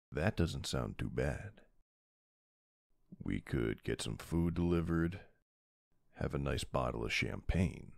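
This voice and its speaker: A man playing a tough but soft-hearted mafia boss husband in an intimate ASMR roleplay, murmuring tenderly with a very deep, gravelly voice. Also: no room sound, studio quality, heavily acted. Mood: warm, relaxed.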